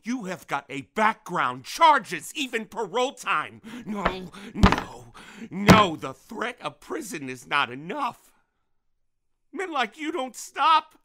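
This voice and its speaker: A man acting in an audio drama as a menacing, grieving middle-aged father protecting his daughter, speaking with halting intensity. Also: sits high, sing-song, sharp tone, slight background noise, heavily acted. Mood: furious, threatening. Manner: intense, halting, with repeated words.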